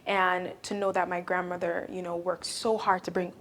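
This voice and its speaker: A woman's voice: lowish voice, level tone, normal speed, a bit bright, slight background noise. A young woman from Jamaica with a Jamaican accent, giving earnest, heartfelt interview answers as a guest. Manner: earnest, heartfelt, sometimes laughing. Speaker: guest, accountant and business owner.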